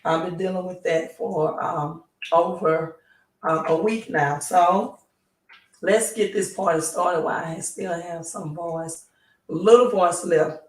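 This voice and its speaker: A hoarse woman hosting a live stream, talking slowly and pausing often to ask "right?". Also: on the low side, steady tone, a little hiss or hum. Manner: slow, halting, repeats "right?". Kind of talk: casual live stream talk. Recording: roomy, distant mic.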